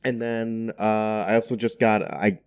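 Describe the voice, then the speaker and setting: A man with a fairly low voice chats as a podcast co-host. His delivery is casual and slangy, with a jokey, giggly streak. It sounds like relaxed, unscripted talk between gamers. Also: a little slow, smooth. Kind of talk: casual video game chat.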